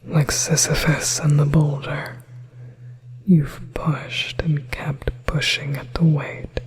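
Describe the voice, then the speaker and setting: Possessive demon hypnotist for ASMR roleplay, played by a performer: breathy whisper, very slow, coaxing, level delivery. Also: medium pitch, level tone, low-quality recording. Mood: soothing, hypnotic.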